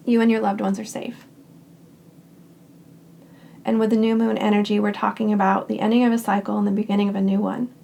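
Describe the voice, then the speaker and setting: A hoarse woman with a raspy voice, still getting over a cold, calmly reads tarot cards for her viewers. Her delivery stays level, with little rise and fall, and a gravelly edge runs through her speech. Faint background noise sits beneath her reassuring, unhurried phrases. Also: medium pitch. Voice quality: raspy, scratchy throat. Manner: calm and reassuring, speaks in short unhurried phrases. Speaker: tarot card reader. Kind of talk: pick-a-card tarot reading.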